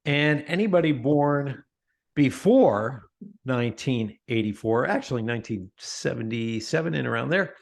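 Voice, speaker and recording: A middle-aged man talking as a guest in a casual interview, emphatic and animated, often restarting his sentences. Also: mid-range voice, normal speed, vocal fry. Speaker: podcast guest, author.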